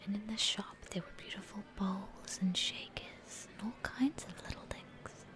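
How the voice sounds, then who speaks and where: Kiwi accent woman whispering asmr travel story, real slow n gentle, soothing. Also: medium pitch. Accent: New Zealand. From New Zealand. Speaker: ASMR vlogger and narrator.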